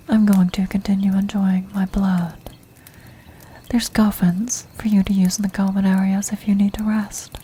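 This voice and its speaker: A woman voicing an ancient, commanding vampire countess in a soft, breathy, formal whisper for an ASMR fantasy roleplay. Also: mid-range voice. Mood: intrigued, inviting. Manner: slow, formal, measured, regal.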